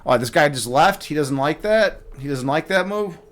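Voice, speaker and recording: A man's voice: fairly high pitch, lively intonation, moderate pace, fairly bright tone. A man giving casual live commentary on his own game as a streamer, muttering half-finished thoughts to himself as he thinks aloud.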